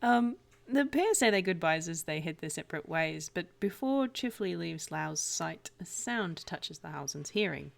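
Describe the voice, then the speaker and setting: Creaky-voiced woman narrating a story. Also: fairly low pitch, lively intonation, moderate pace. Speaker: tabletop roleplayer reading aloud.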